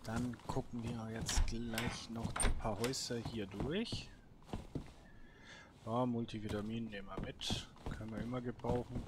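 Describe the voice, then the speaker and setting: A man's voice: medium pitch, little pitch movement, unhurried. Man, noisy gaming commentary. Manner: talks to himself, muttering short remarks. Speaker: gaming video host. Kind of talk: casual solo let's play commentary. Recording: clearly noisy background with clicks.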